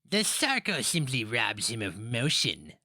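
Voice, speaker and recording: A man voicing a canny old matriarch, husky, scolding and mocking, in a fantasy story reading. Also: medium pitch, wildly swooping pitch, unhurried, sharp tone, no room sound, clean studio sound, pitched above the performer's own voice, full character voice. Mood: sly, teasing. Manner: scolding, rueful, teasing.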